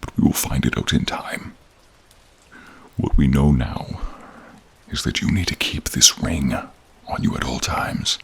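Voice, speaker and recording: Grave protective dragon, extremely low pitch, heavily husky and breathy, formal wording, dramatic pauses, fantasy roleplay. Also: male voice, heavily acted. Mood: earnest, tender. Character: old wise protective male dragon, grave and caring. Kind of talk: fantasy audio roleplay, addressing the listener. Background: rain and cave ambience.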